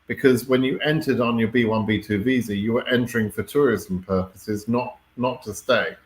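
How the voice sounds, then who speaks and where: A British man hosts a casual live Q&A, reading questions and answering them off the cuff. His voice sits at an average pitch for a man. He is blunt and wry, a little exasperated, and often checks that listeners follow. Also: moderate pace, rough recording. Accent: British English. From Britain.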